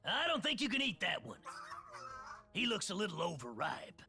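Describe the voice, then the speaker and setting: A man's voice: high voice, huge pitch swings, moderate pace, slightly rough, full character voice. A man playing a crazy, belligerent little yordle for a video game, an old grump with a scratchy cartoon voice, a Southern US accent and rowdy folksy delivery. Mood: gruff, mocking. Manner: rowdy, cantankerous, folksy, often shouting. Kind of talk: video game character voice lines. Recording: game clips with music underneath.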